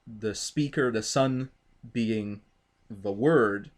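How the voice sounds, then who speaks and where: A man explaining theology thoughtfully in conversation, deliberate and halting, with formal wording. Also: on the low side. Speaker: interviewer.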